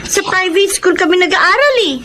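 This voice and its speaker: A young schoolgirl, a whiny and pleading daughter. Her voice is extremely high, in radio drama style over faint background noise. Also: expressive, moderate pace, bright, forceful tone, exaggerated voice.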